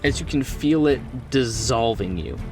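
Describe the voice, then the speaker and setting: A man with a mid-pitched voice acts as game master at a horror tabletop game. He talks players through rules and scenes conversationally, a little away from the mic, with clear music playing behind him. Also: expressive, a little slow, far from the mic. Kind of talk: narrating a tabletop game, off the cuff. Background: music bed.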